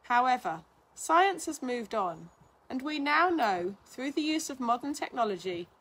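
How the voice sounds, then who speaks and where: A woman narrates a written article in a fairly high voice with a husky, less clear edge. Her delivery is measured and instructional, as a reader explaining material to listeners. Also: very animated, fairly bright tone. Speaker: reader of a blog, physiotherapist. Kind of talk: scripted narration, reading an article aloud.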